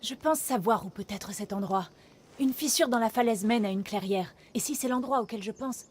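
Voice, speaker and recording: An actress playing an earnest, determined ally of a warrior in a video game, speaking in a serious, purposeful way. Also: female voice, on the high side, moderate pace, a little mellow, a little hiss or hum, close to an everyday voice. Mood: focused. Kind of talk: dramatic video game acting.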